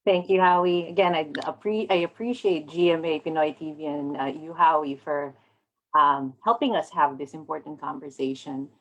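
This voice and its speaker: A woman from the Philippines speaking on a community panel as an organization's president, earnest and thoughtful, pausing on fillers. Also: deep voice, rough recording. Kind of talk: panel discussion talk.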